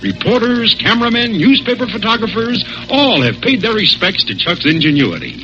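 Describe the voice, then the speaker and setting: A man's voice: high-pitched, big pitch swings, normal speed, sharp tone, faint music behind. A man announcing and narrating a 1940s radio adventure serial in a dramatic scripted style. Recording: dull old radio broadcast recording.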